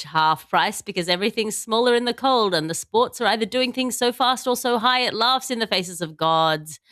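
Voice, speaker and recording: A woman's voice: mid-range voice, a bit bright. Wry woman hosting a comedy podcast. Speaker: podcast host.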